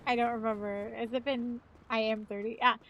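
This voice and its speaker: A woman's voice: on the high side, little pitch movement, a little slow, dull audio. A woman in her thirties, a live streamer chatting casually, rambling and swearing freely. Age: 30s. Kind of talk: casual chat.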